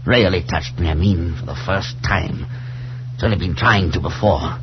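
An actor playing a terrified older professor losing his grip, speaking in halting, breathless phrases in a 1940s radio drama. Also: sits low, natural voice. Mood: horrified. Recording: muffled, noisy 1940s radio recording with hum.

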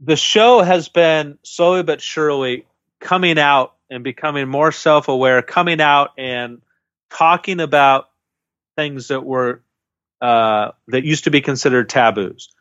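A man's voice: a little high, measured pace, a bit bright, no echo, lo-fi sound. A man co-hosting a casual podcast, giving opinionated, speculative takes on a dating show. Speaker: podcast co-host.

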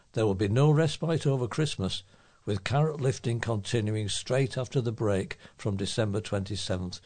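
A man's voice: on the low side, darkish tone. A man reading a local news report aloud as a volunteer newsreader.

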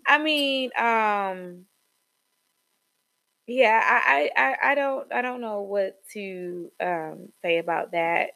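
A young woman co-hosting a casual gossip podcast, animated, with sudden high jumps in pitch and trailing ums. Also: slow pace, creaky. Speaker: podcast co-host.

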